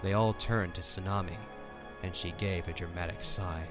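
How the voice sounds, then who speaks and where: A man's voice: low voice, fairly even intonation, normal speed. A man reading scripted narration for a fantasy audio drama in storybook style. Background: faint music.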